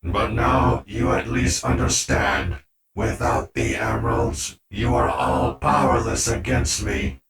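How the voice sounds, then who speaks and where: A man's voice: lowish voice, big pitch swings, slow pace, creaky, over a noisy crowd, full character voice. A man voicing a ruthless, hulking killer robot in a fan audio drama, stiff, declarative and menacing. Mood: cold menace. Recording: echoey, distant, loud music underneath.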